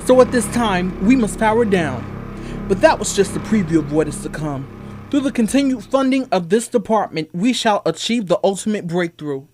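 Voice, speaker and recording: A man's voice: high voice, expressive, normal speed, darkish tone, soft background music. A man playing an ambitious, volatile scientist in an old-time radio drama, speaking in a formal, theatrical, grandiose way. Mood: proud, showy.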